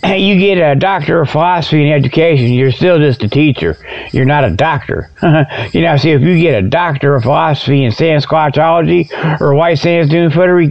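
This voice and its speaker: A man's voice: fairly high pitch, gravelly. A man hosting a comic radio show with a rambling, folksy, informal monologue. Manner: folksy, informal, repeatedly tags phrases with "okay". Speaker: host. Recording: dull lo-fi radio sound.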